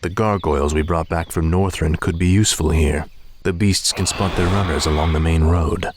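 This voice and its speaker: An actor playing a cold, arrogant young prince turned death knight in a video game, menacing and imperious. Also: male voice, low voice, steady tone, moderate pace, a little hiss or hum, close to an everyday voice. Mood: curt, scheming. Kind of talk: video game cutscene acting.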